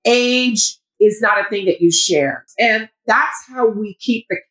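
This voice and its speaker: A woman in her fifties, a guest in an interview, talking loudly and with enthusiasm. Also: medium pitch, measured pace, reverberant, rough recording. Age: 50s. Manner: loud, emphatic, enthusiastic. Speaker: guest, founder of a women's community. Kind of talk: conversational interview.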